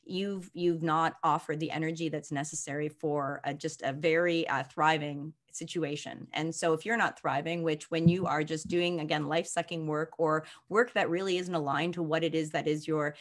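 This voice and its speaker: A woman's voice: deep voice, steady tone, normal speed, few pauses. A woman coach talking casually and enthusiastically, with a motivational tone and easy laughter. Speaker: coach and guest presenter. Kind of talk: casual conversational chat. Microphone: video call.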